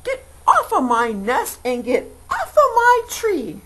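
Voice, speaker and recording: A woman's voice: sits high, huge pitch swings, slow, a bit bright, voice pushed up, heavily acted. A woman voicing a lazy, selfish, brazen female bird from a children's storybook, brash and exclaiming. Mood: indignant. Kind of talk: children's verse storybook read aloud. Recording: faintly noisy amateur home recording.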